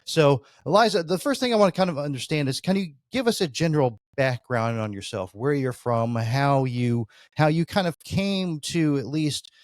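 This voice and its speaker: A man's voice: on the high side, expressive, normal speed, few pauses, no room sound, studio quality. A man hosting a podcast interview, asking long, rambling questions full of "you know" fillers. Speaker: podcast host.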